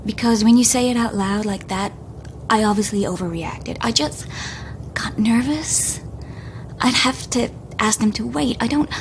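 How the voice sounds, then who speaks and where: A woman playing a shy, anxious young honeymooning wife in an audio drama, fretting in a hushed, breathy, naturalistic way. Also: a little high, measured pace, background noise. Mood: embarrassed, nervous.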